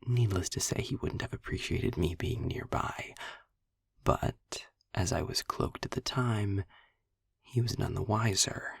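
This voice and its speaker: A sardonic demon acted by a man murmurs close to the mic in a very husky, gravelly half-whisper. He is unhurried and darkly amused, choosing ornate words with care and leaving dramatic pauses. The sound is dry and intimate, like a scripted audio roleplay. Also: no room sound, exaggerated voice. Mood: wry, measured. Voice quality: low, breathy, gravelly near-whisper. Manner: sarcastic, wordy, deliberate storytelling with dramatic pauses. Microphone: close microphone in a dry, quiet space.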